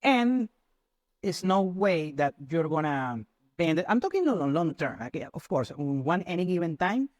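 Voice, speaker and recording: A man's voice: on the high side, big pitch swings, normal speed, no room sound, studio quality. A man, hosting and explaining his views in a casual, lecture-like way with frequent restarts. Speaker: channel host. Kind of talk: casual talk to camera.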